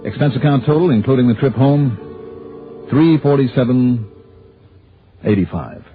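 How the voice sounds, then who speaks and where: An actor playing a brisk, shrewd, skeptical insurance investigator with an American accent and clipped, probing delivery in a 1960s radio drama. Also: male voice, medium pitch, lightly acted. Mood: wry narration. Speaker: actor in a radio detective series. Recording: tinny vintage radio recording, music behind.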